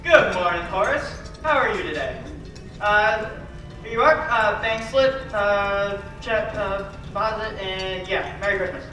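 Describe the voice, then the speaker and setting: A young man playing a flustered, absent-minded older uncle with a halting, theatrical delivery in an old-fashioned radio play. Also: high-pitched, lively intonation, pushed and bright, exaggerated voice. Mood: muddled, friendly. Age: young adult. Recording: echoey live stage, far mic, faint music.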